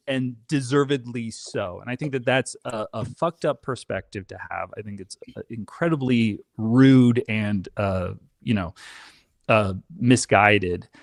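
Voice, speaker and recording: A man's voice: mid-range voice, no echo. Casual male guest with a dry, close sound. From Canada. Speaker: podcast guest. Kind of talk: casual personal interview storytelling.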